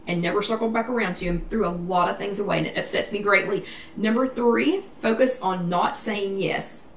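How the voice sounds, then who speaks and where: Woman vlog host, casual live chat, emphatic and repetitive, mid pitch, roomy sound from a distant mic. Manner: chatty, emphatic, repeats herself. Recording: echoey room, distant mic, faint noise.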